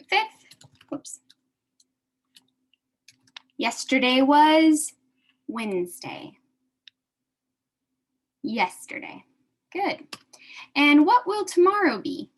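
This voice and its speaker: A young American woman teaching a beginner English class, speaking slowly and repeating each phrase for her adult learners. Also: high voice.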